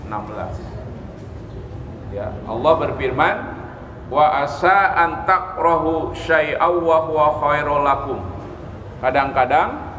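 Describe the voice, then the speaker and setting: A man's voice: mid-range voice, a bit bright. A man giving a religious lecture as an Islamic teacher, explaining step by step, repeating key phrases and checking in with listeners. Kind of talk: sermon, religious lecture to a study group. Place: mosque hall, live religious gathering. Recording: echoey hall with long reverb, background noise.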